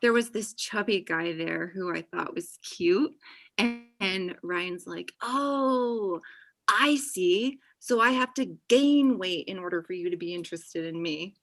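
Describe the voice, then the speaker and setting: A woman's voice: lowish voice, a little slow, a bit bright. A young woman playing a chatty, overenthusiastic and possessive best friend in a comedy table read over a video call. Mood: gushing, teasing. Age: young adult. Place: indoor room on a video call.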